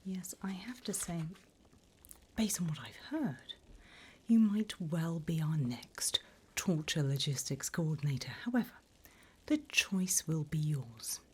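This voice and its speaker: A woman's voice: low voice, slow, darkish tone, full character voice. A woman voicing a sly, teasing demon job interviewer from hell in a whispered, mock-formal ASMR roleplay. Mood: sly, businesslike. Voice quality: breathy whisper.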